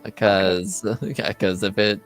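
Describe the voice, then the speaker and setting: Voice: low voice, slow pace. A game master playing a polite, awkward Templar guard in a tabletop game, hesitant and understated. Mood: hesitant concern. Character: polite, awkward armored male Templar guard, wary boyfriend. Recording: music playing behind the voice.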